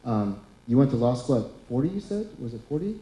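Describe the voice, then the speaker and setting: A man in his thirties moderating a panel discussion, posing questions in an easy, friendly, conversational way. His voice is deeply mellow and dark. The room adds a roomy echo. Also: very animated, fast. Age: 30s. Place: lecture hall.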